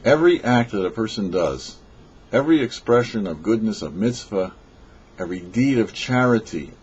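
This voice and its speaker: A man with a low, husky voice reads a short sermon as a rabbi on a radio show. His delivery is measured and emphatic. The recording is dull and lo-fi. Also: lively intonation, a little mellow. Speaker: rabbi giving a weekly religious teaching. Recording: dull, lo-fi audio.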